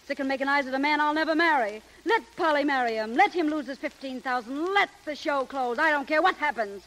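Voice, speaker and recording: High-voiced, guarded 1930s showgirl. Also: lively intonation, brisk, a bit bright. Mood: bitter, upset. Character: proud, wounded, weary young showgirl, woman. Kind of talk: 1930s radio acting. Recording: reverberant 1930s radio recording.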